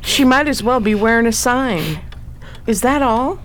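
An actress playing a shrewd, self-assured woman sleuth in a radio drama, with a brisk, knowing, wry delivery. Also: a little high, lively intonation. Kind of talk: old-time radio drama acting.

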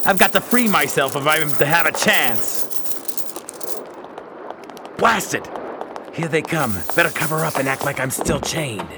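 An actor playing a stubborn, defiant captive sea captain in a period radio drama, with dramatic, old-fashioned delivery. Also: male voice, fairly high pitch, animated, a little slow, long pauses, noisy background. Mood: strained, plotting.

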